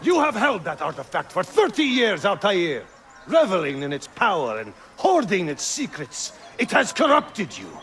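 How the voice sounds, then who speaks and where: An actor voicing a vengeful, power-hungry usurper in a video game, declaiming and accusing in a dramatic way. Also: male voice, sits high, wildly swooping pitch, normal speed, a bit bright, slight background noise, exaggerated voice. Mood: cold, accusing. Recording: music behind the voice.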